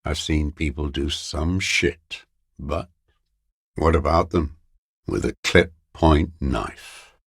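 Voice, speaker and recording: An actor playing a bitter, paranoid old communist deserter in a video game, with a weary, gravelly voice and a halting, brooding, contemptuous mutter. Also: male voice, a bass voice, dry recording, clean studio sound, heavily acted. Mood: brooding, furtive. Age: older. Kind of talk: video game character voice acting.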